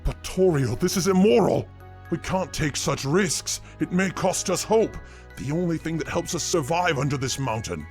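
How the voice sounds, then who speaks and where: A man playing a principled, soft-hearted monster king in a comic dub, with a solemn, emphatic, regal delivery. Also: on the high side, animated, moderate pace. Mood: indignant. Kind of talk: dramatic comic dub acting. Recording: music behind voice.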